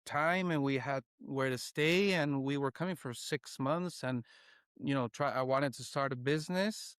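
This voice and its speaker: A man speaking as a podcast guest, chatting casually in a halting way with frequent filler phrases. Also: medium pitch, fairly bright tone, clear, resonant tone, dry recording, clean studio sound. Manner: halting, often says "you know". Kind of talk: casual conversational interview.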